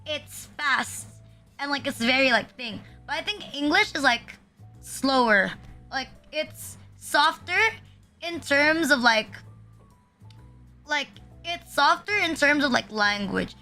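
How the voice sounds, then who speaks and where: A woman's voice: high voice, lively intonation, takes it slowly, bright, forceful tone, faint music behind. A young woman from the Philippines chatting casually on a livestream, rambling with constant fillers and frequent swearing. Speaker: VTuber streamer.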